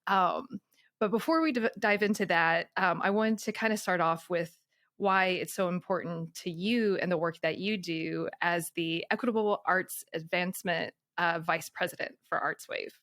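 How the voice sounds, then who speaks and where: A woman's voice: lowish voice, moderate pace, a bit bright, no echo, clean studio sound. A woman hosting a podcast, introducing her guest and asking questions in an enthusiastic, effusive manner. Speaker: podcast host. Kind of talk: scripted intro then casual interview.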